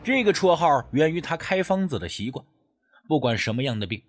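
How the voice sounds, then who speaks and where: A man narrating a scripted mystery audiobook in the first person, with dramatic, expressive storytelling. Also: a little high, a little fast.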